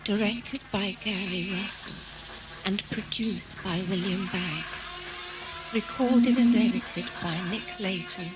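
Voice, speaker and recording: A woman's voice: medium pitch, slow, rough recording. A woman reading scripted end credits as an announcer for an audio drama. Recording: loud theme music over voice.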